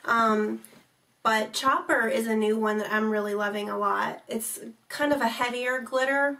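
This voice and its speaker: A young woman with a slight cold and a raspy edge, chatting casually as a beauty vlogger co-host about makeup products in detail. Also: on the high side, steady tone, moderate pace, pushed and bright, far from the mic. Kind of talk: casual chat reviewing makeup.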